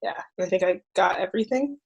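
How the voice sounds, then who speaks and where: A woman's voice: fairly low pitch, fairly quick, darkish tone, highs cut off. A woman in her twenties from Florida, introducing herself upbeat and casual on a panel. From Gainesville, Florida. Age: 20s.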